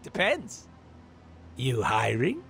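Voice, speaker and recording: A man's voice: medium pitch, wildly swooping pitch, takes it slowly, gravelly, fairly bright tone, a little hiss or hum, heavily acted. A man voicing an irreverent, wisecracking mercenary antihero in a video game, talking in a flippant, rambling, mock-theatrical way. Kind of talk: comic video game voice acting. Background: music.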